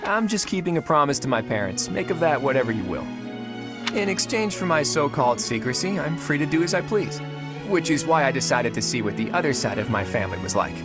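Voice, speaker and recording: Guy voicing young male noble student in a video game, playful n secretive, super lively pitch, music behind. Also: fairly quick, natural voice. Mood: guarded, easygoing. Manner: breezy, casual, teasing.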